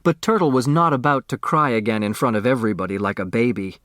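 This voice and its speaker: A man's voice: mid-range voice, quick pace, fairly bright tone, dry recording, clean studio sound. A man reading a children's mystery novel as scripted narration. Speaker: audiobook narrator.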